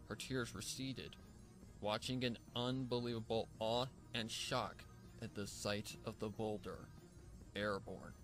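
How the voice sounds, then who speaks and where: Male narrator for dramatic fan fiction, lowish pitch, measured and theatrical, music underneath. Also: fairly bright tone, poor audio. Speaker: story narrator. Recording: music bed behind the voice. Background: background music.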